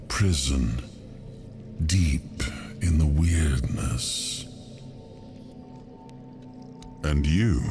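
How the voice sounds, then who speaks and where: A man's voice: very deep voice, gravelly, exaggerated voice. An actor playing a weary ancient king in a video game, solemn and brooding, with a lost air. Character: weary ancient king, amnesiac, freed from long imprisonment. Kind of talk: dramatic video game cutscene acting and narration. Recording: echoing hall reverb under prominent music. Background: music.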